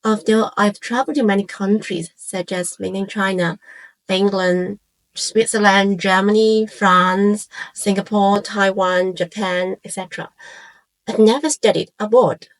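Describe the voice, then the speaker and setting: A woman in her forties from Hong Kong, a native Cantonese speaker with a Hong Kong accent, narrates a scripted, reflective piece in measured phrases with short pauses. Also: medium pitch, steady tone, rough recording. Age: 40s. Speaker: YouTube channel creator and host.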